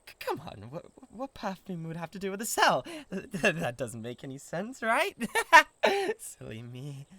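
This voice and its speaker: A man's voice: a little high, huge pitch swings, slow pace, sharp tone, exaggerated voice. A man with a British accent playing a lovesick, possessive giant who stammers and gets defensive in a comic audio roleplay. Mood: flustered wooing. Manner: stammering, pleading, easily riled defensiveness.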